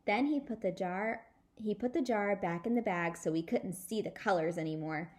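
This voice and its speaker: Expressive woman reading a children's story aloud. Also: medium pitch, normal speed. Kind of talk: animated read-aloud narration for children.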